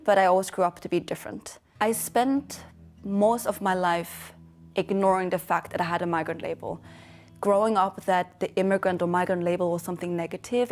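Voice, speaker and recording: A young woman from western Norway speaks English with a Norwegian accent, earnest and measured, in a reflective interview. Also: fairly low pitch. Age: young adult. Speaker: founder of a storytelling organisation, interviewee. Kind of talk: reflective interview monologue to camera. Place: dark indoor studio.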